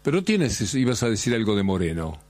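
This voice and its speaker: A man's voice: on the low side, big pitch swings, brisk, darkish tone, dry recording. A man hosting a casual radio interview, chatty and full of questioning tags. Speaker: radio host.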